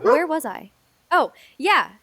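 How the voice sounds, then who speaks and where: A woman playing an excitable, playful teenage girl with a mock-formal, conspiratorial way of bantering in an audio drama. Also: high voice, takes it slowly, a little hiss or hum, full character voice. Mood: playful, mock-solemn.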